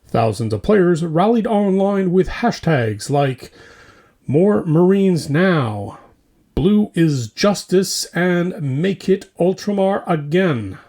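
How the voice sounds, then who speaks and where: A man's voice: high-pitched, sing-song, slow pace, a little mellow. A man hosting a scripted mock news show, delivering it like a theatrical, mock-serious newscaster. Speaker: host of a hobby news show.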